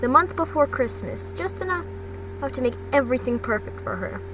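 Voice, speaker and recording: High-voiced woman reading, noisy distant recording. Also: lively intonation, fairly quick, fairly bright tone. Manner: stumbles over words and repeats phrases. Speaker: fan fiction podcast reader. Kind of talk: amateur storybook read-aloud.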